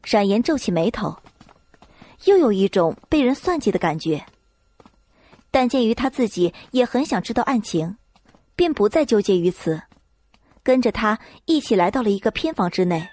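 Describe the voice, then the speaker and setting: A woman's voice: mid-range voice, big pitch swings, normal speed, pauses often, warm tone, no echo. A woman narrating a scripted novel as an audiobook reader.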